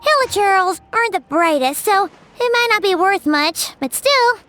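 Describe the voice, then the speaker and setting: Super high squeaky little fairy sidekick, bubbly and dramatic, bouncy voice, game music under it. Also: female voice, animated, unhurried, fairly bright tone, heavily acted. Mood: curious. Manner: talks about herself in third person, bubbly and dramatic. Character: tiny floating fairy companion, chirpy, excitable, self-centered. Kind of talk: video game cutscene dialogue. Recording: game soundtrack music behind.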